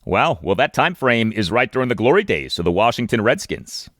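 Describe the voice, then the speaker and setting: An American man hosting a sports interview, putting prepared questions in a deliberate, formal way. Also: mid-range voice, big pitch swings, fairly bright tone, no room sound, clean studio sound. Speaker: sports podcast host, interviewer.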